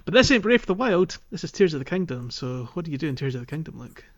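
A man's voice: a little high, big pitch swings, quick pace. A man hosting a gaming podcast in casual, informal conversation. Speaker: podcast host. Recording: dull, lo-fi voice recording.

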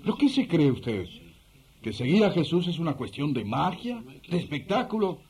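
Radio drama role: humble, earnest apostle male actor, highly expressive pitch, firm and emphatic when rebuking. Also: normal speed. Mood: indignant, scolding. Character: Peter the apostle, humble man, firm and indignant.

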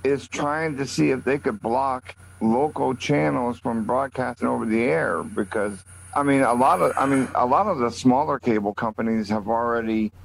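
A man's voice: medium pitch, moderate pace, creaky, low-quality recording. A man in a casual group chat, speaking in a polite, tentative way. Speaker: coffee club participant who makes online videos.

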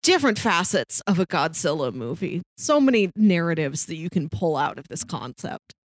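A woman's voice: fairly low pitch, big pitch swings, normal speed, no room sound. A woman chatting casually and enthusiastically about films as a podcast co-host. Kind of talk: casual film discussion chat.

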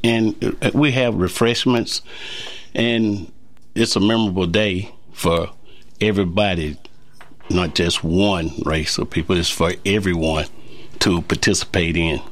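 A man guest on a local talk show, explaining an event in a plain, unhurried, halting way. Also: low-pitched, fairly even intonation. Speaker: civic group president, guest.

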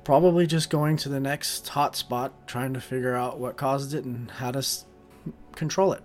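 A man's voice: medium pitch, fairly even intonation, moderate pace. A young man with a mid-range voice talks casually as a tabletop player. He describes his character in plain interview answers, with music faintly behind him. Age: young adult. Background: background music.

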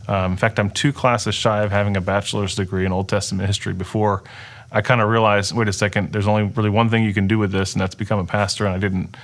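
A middle-aged man, a native Dutch speaker, telling his personal story in an earnest, halting way with many restarts. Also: on the low side, little pitch movement. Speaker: interview guest. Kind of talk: personal testimony in conversation.

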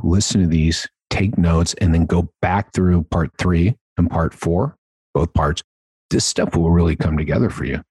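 A man hosting an instructional podcast as a sales trainer, talking in an emphatic, conversational way with a husky voice. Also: sits low, darkish tone, no echo. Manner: emphatic, conversational, checks in with "right?".

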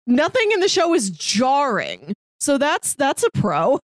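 A woman's voice: high voice, wildly swooping pitch, a little slow, dry recording. A woman co-hosting a casual review show, talking in an informal, conversational way. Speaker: podcast host.

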